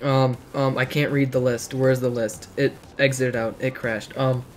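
Hoarse teen boy, rambling livestream chat, mid-pitched voice, faint music behind. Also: little pitch movement, a little slow. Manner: rambling, joking, reacts to chat. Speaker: streamer. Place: dim bedroom.

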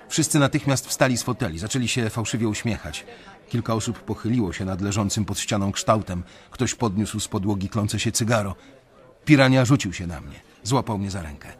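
A man's voice: on the low side, lightly acted. A man playing a brooding, conscience-stricken young banker who narrates a radio drama in the first person, introspectively. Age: young adult. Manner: measured, introspective storytelling.

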